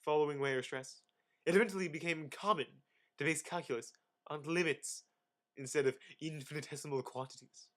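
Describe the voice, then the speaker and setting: A man giving an amateur dramatic reading of encyclopedic text, mock-grandiose, with sudden emphatic swells and theatrical pauses. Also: fairly high pitch. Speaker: amateur reader.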